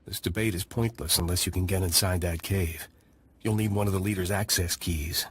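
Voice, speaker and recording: Gruff, husky scout chief. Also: male voice, low-pitched, fairly even intonation, moderate pace, dry recording. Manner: blunt, gruff. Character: gruff, blunt alien scout chief, hungry for revenge.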